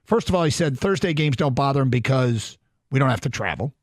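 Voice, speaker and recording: A man co-hosting a sports radio show, chatting casually and rattling off injury reports briskly. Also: mid-range voice, animated, dry recording, clean studio sound. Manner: brisk, reads lists quickly. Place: radio studio.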